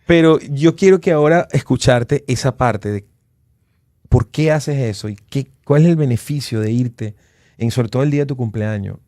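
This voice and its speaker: Male podcast host, forties, effusive, conversational, medium pitch, very little room sound. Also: normal speed, warm tone, no room sound, studio quality. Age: 40s. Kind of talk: casual conversational interview.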